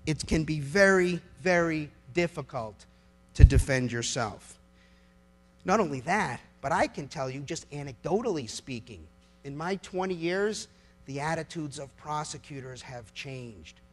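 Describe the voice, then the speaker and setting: A middle-aged American man delivering an impassioned political speech to a live audience, emphatic, with rhetorical questions and dramatic pauses. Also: on the high side, expressive. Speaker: lawyer giving a public speech.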